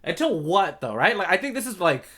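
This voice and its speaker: A man co-hosting a podcast in casual discussion, analytical and reasoning out loud through questions. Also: sits high, very animated, a little fast. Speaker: podcast co-host.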